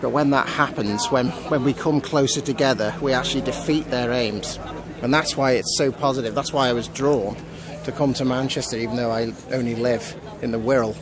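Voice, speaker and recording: A man with a medium-pitched voice reports news on location, making it up as he goes. His delivery is halting, with repeated words. He is outdoors in a city square, with crowd and traffic noise clearly audible around him. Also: fairly even intonation.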